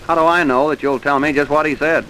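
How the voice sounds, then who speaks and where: A man's voice: fairly high pitch, steady tone, fast talker, bright, forceful tone, rough recording. A man with an American accent playing a stern, probing police detective in a vintage radio crime drama. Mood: stern, pressing. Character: brisk, hard-nosed male police detective. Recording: hissy old radio recording.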